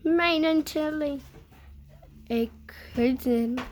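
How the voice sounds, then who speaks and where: A woman reading a children's picture book aloud in a deliberate way, pausing between short phrases. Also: sits high, a little mellow. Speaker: storybook reader.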